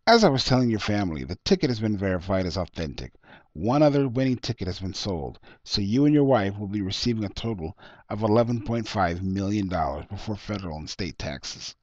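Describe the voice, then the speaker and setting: Man as a formal, low-voiced lottery official. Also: expressive, brisk, darkish tone, no room sound. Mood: businesslike. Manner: formal, procedural. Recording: dull lo-fi close recording.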